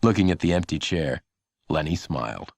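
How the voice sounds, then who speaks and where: Expressive man narrating a children's story. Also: very deep voice, normal speed, creaky, no echo. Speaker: audiobook narrator.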